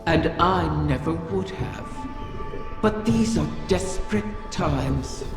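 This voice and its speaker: Slow gravelly old dragon mom for a game, proud n menacing, huge echo, music under it. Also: female voice, lowish voice, expressive. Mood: grave, guarded. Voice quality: gravelly, creaky. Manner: slow, grandiose, taunting. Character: ancient female wish-granting dragon mother, menacing, bitter, proud. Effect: cavernous reverberating creature effect. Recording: long echoing reverb, music behind.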